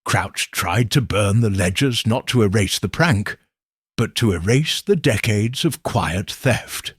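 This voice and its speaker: A man voicing a cool, exacting middle-aged detective in an audiobook, speaking in a measured, coldly certain way with a husky voice. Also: lowish voice, expressive, no room sound, clean studio sound, full character voice. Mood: explaining. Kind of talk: audiobook character reading.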